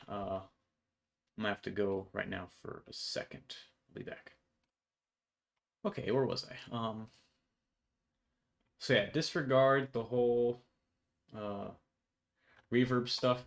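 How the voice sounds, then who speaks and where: Low-voiced young man, casual tutorial. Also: fairly even intonation, unhurried, vocal fry, long pauses. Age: young adult. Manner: offhand, casual, slangy. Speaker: programmer presenting his project. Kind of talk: informal code walkthrough tutorial. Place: indoor room at home.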